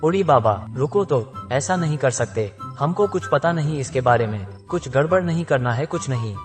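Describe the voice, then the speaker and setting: A bumbling, street-smart village thief, voiced by a man for a cartoon story. He talks roughly and blusters in a mid-range voice, with faint music underneath. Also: mid-range voice, animated, normal speed, natural voice. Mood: quarrelsome. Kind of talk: cartoon voice acting.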